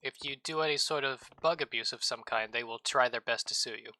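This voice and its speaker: A man's voice: mid-range voice, fairly quick, bright, forceful tone, low-quality recording. A man in casual gaming chat, joking and rambling with playful, absurd asides. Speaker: streamer co-host.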